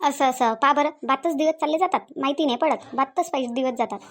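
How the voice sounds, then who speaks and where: High-pitched older village mother, acted by a woman. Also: fast. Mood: practical, chatty. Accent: Varhadi (Vidarbha) Marathi. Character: lonely older village mother, plain-spoken and nagging. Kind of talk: cartoon family drama dialogue.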